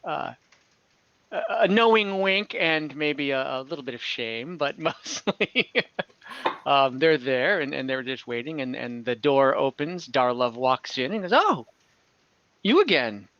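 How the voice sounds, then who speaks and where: A man narrating scenes as game master of an online tabletop game, halting often and restarting with fillers as he describes what happens. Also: mid-range voice, a bit bright, smooth, dull audio.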